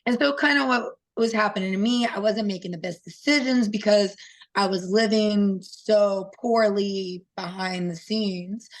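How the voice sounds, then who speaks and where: A woman in her thirties with a raspy voice, a fighter telling her story in an interview in a candid, earnest way with many fillers. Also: medium pitch, unhurried, poor audio. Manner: open and earnest, with frequent fillers. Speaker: interview guest, professional fighter.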